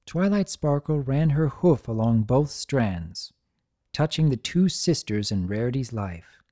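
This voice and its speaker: A narrator giving a dramatic story reading in a soft, measured, deliberate way. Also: mid-range voice, expressive.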